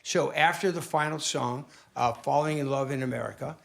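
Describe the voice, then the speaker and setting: A man's voice: mid-range voice, moderate pace, gravelly, a bit bright. A man hosting a casual online concert stream, chatting and introducing the next guest. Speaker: show host. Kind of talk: casual livestream chat.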